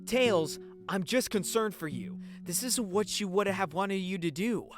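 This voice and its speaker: A woman voicing a caring, protective male cartoon hedgehog, gentle and concerned like a big brother, in a fan drama. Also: mid-range voice, measured pace, over music, natural voice. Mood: worried.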